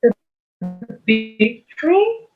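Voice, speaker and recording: A woman's voice: a little high, big pitch swings, extremely slow, faint music behind, exaggerated voice. A woman playing a confused, earnest teenage demigod boy in a theatrical table read, bewildered and questioning, on a slightly dull microphone.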